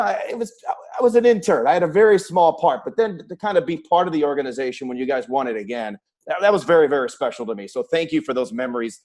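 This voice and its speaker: A man hosting a casual sports interview, talking conversationally in long, winding sentences as he puts questions to his guest. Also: medium pitch.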